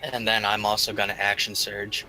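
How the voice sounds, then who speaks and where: Very bright, forceful-voiced man, cocky gamer. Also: lowish voice, little pitch movement, moderate pace, faint music behind, low-quality recording. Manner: cocky, informal. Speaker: tabletop game player. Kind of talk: casual banter during a roleplaying game.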